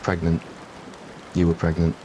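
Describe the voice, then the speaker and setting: Casting: male performer as young king for fantasy roleplay audio; deep, narrow-ranged, soft and halting. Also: monotone, slight background noise. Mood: stunned. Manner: hesitant, halting, soft-spoken. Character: young male king, astonished and softened, normally harsh. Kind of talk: fan-fiction audio roleplay.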